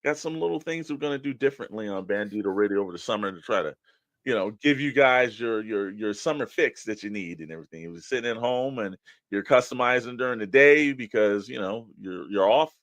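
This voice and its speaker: A man co-hosting a podcast, chatting casually and pitching straight to the listeners in a conversational way. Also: lively intonation, a little fast, fairly bright tone, no echo. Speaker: podcast co-host. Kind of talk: casual promotional podcast chat.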